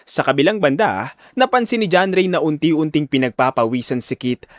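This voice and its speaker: A man's voice: on the high side, lively intonation, normal speed, no room sound, studio quality. A man narrating a scripted audio drama as a dramatic storyteller.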